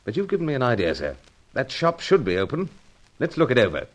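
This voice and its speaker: A man playing a resolute, brisk British secret agent in a radio adventure serial, clipped and deferential in manner. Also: mid-range voice, wildly swooping pitch. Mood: urgent, determined.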